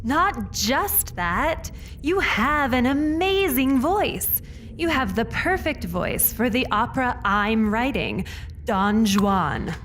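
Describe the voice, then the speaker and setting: Man as lovesick opera phantom, high swooping voice, awkward, entitled, audio comedy, some background noise. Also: sing-song, slow pace, a bit bright, exaggerated voice. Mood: smug pleading. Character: lovesick, entitled masked opera phantom, self-proclaimed musical genius. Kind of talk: audio sketch comedy acting.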